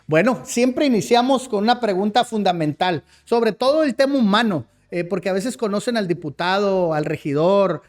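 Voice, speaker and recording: A man's voice: high voice, moderate pace, hardly any pauses. A man hosting a podcast interview, formal and full of fillers. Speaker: podcast host.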